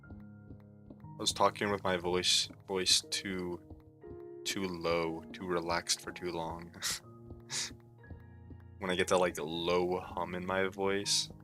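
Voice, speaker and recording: Young male streamer, worn out and a bit sore-throated; very low, crisp voice; drawling, filler-heavy chat. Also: flat delivery, takes it slowly, long pauses, pushed and bright, faint music behind. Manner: laid-back, low and mumbly, lots of "like" fillers. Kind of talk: casual rambling stream talk.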